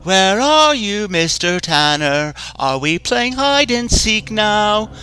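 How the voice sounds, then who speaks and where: A demented clown taunts in a high, slow, sing-song lilt, put on by a male reader. The voice sits well above a normal pitch and drags each phrase out. A clear music bed runs underneath. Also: big pitch swings, pushed and bright, exaggerated voice. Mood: taunting.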